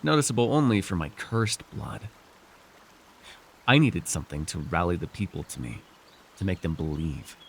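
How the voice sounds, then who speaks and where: Man playing a low-voiced, wry fantasy villain lord. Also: vocal fry, a little hiss or hum. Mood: wry, confiding. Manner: theatrical, halting, sighing and musing. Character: scheming young villain lord, weary, wry, persuasive. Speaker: voice actor. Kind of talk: immersive fantasy roleplay monologue, ASMR.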